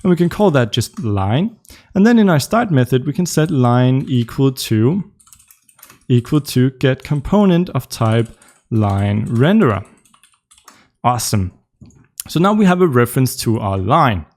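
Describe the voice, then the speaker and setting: A man's voice: mid-range voice, big pitch swings, a little slow, darkish tone. Casual Danish man coding live. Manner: casual, explaining while coding, frequent ums and uhs. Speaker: tutorial host.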